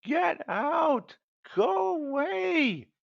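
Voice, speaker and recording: A man's voice: big pitch swings, slow, a bit bright, lo-fi sound, exaggerated voice. A man doing a sick young man, Maximuffy. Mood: panicky. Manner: groaning, then panicky and shrill. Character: sick young man who turns into a dog.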